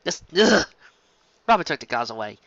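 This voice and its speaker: A woman's voice: low voice, very animated, moderate pace, fairly bright tone, dry recording, muffled recording. A woman reviewer reading fan fiction aloud with comic asides, theatrical and exclamatory.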